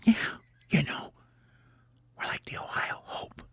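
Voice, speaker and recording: A storyteller voicing young birds in a secretive huddle, in a hushed, breathy whisper for a children's story. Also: fast talker, dry recording, studio quality, close to an everyday voice.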